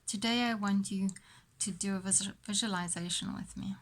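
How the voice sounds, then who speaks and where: A woman host leading a guided visualisation in a motivational coaching style, speaking deliberately with pauses and repeated phrases. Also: medium pitch, fairly even intonation, vocal fry.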